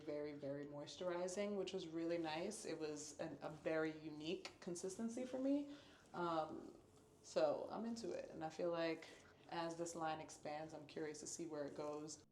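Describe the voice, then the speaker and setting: A woman's voice: low-pitched, a little mellow, slight background noise. A woman vlogging at home as a hair reviewer, chatty and upbeat, repeating words for emphasis. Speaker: natural hair YouTuber. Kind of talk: casual product review vlog. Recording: echoey room.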